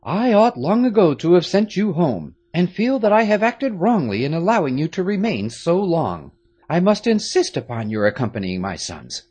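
A commanding aristocratic father lays down the law, as a man reads him. His husky voice rises high and swings widely as he speaks firmly and gravely. It is a storybook figure. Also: big pitch swings, moderate pace, a little mellow. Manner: firm, authoritative. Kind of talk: audiobook character reading.

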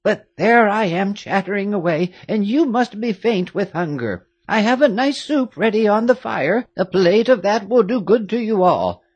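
A narrator voicing a fussy, fiercely loyal old woman who once nursed the family, high-pitched and chattering in exclamations. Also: animated, moderate pace, hardly any pauses, a little mellow, breathy edge, dry recording, highs cut off. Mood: fussing, warm. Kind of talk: audiobook character reading.

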